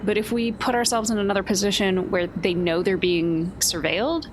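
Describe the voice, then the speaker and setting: A woman's voice: mid-range voice, normal speed. A woman playing a tabletop game, reasoning through choices in casual hedged phrases. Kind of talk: casual play talk. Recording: noisy background hiss.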